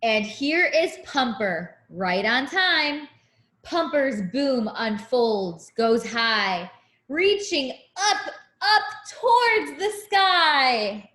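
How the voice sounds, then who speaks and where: A woman reading a picture book aloud to young children as a teacher, in an expressive, deliberate way with simple explanations. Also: high-pitched. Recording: echoey room.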